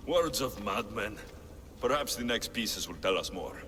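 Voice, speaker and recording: An actor playing a pragmatic, skeptical mercenary adventurer in a game cutscene, wry and matter-of-fact. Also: male voice, lowish voice, expressive, unhurried, sharp tone, long reverb tail, a little hiss or hum. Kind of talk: video game cutscene acting.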